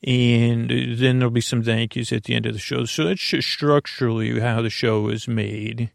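A man hosting a sleep podcast in a gentle, meandering, halting monologue full of tangents and false starts. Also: medium pitch, fairly even intonation, vocal fry, no room sound, studio quality. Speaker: podcast host. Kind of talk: rambling bedtime monologue to help listeners sleep.

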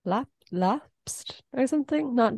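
A woman co-hosting a podcast in a chatty, giggly way, her voice a little deeper than usual after a night out. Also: expressive, takes it slowly, darkish tone, dry recording, clean studio sound. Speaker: podcast co-host. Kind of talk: casual chat. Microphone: podcast microphone.

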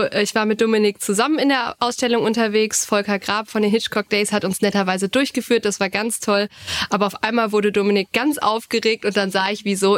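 A woman co-hosting a casual fan podcast, explaining her thoughts articulately. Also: mid-range voice. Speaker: podcast co-host.

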